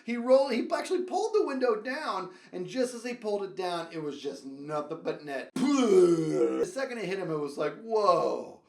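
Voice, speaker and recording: A man's voice: high-pitched, sing-song, normal speed, hardly any pauses, darkish tone. A man hosting a comedic storytelling show, talking straight to viewers in a theatrical, exaggerated, emphatic way and repeating phrases. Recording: roomy echo.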